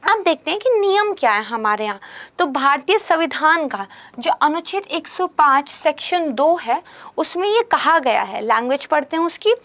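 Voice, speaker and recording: Young woman tutor, high voice, a bit hoarse, patient explainer. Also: expressive, moderate pace, fairly bright tone. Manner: explanatory, peppers speech with English terms and "theek hai". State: hoarse from a sore throat. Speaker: current affairs teacher. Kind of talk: exam-prep lecture.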